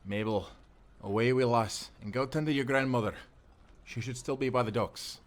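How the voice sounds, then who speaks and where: A man playing a kindly old seafaring grandfather in an audio drama, speaking calmly and reassuringly. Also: mid-range voice, normal speed, lightly acted. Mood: warm. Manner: calm, reassuring, folksy. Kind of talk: cinematic audio roleplay acting.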